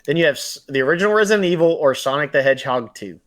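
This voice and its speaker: A man's voice: on the high side, expressive, very fast, fairly bright tone. A man co-hosting a podcast, talking in a casual, conversational style. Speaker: podcast co-host. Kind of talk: casual chat, running a movie bracket game.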